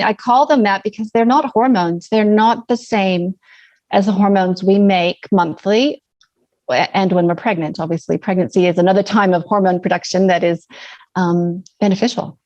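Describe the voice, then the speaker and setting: A middle-aged woman from Canada with a mixed Canadian and New Zealand accent, a naturopathic doctor explaining calmly in a podcast interview. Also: medium pitch, normal speed, dull audio.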